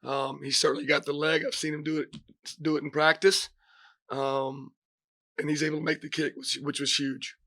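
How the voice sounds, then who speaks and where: A middle-aged man, hoarse from a cold, giving press conference remarks as a head football coach, halting with many fillers and restarts. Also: on the high side, flat delivery, pushed and bright, dry recording.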